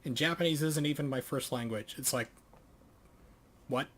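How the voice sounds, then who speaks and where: Man streaming, reading tutorials aloud haltingly. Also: medium pitch, a bit bright, a little hiss or hum. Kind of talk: thinking aloud while reading game tutorials.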